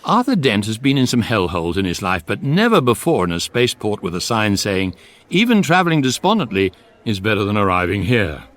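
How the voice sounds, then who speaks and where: An actor playing a wry, deadpan British narrator of a space guide, delivering measured scripted comic narration for radio. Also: male voice, lowish voice. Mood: dryly amused.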